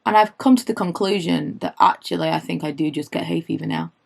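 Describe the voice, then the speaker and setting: Woman in her late 30s doing a chatty makeup vlog, a bit hoarse, goes off on tangents. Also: on the low side, a little fast. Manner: animated, rises when indignant. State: slightly hoarse, losing her voice. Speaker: beauty vlogger.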